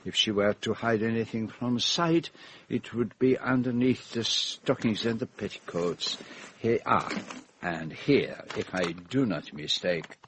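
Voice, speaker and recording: An actor playing a shrewd, self-assured Belgian detective with a French-speaking accent and formal, precise, theatrical phrasing in a radio drama. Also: male voice, fairly low pitch, a little slow, fairly bright tone, a little hiss or hum. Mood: teasing, insistent.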